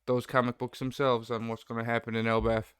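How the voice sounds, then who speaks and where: A man's voice: mid-range voice, flat delivery, a little fast, a bit bright, clear, resonant tone, dry recording, clean studio sound. A man speaking as a guest in a casual fan discussion, agreeable and appreciative.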